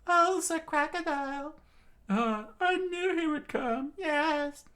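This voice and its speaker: A man voicing an adoring, swooning townswoman in a breathless high falsetto while reading a comic aloud. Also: slow pace, bright, forceful tone, exaggerated voice. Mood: tearful relief.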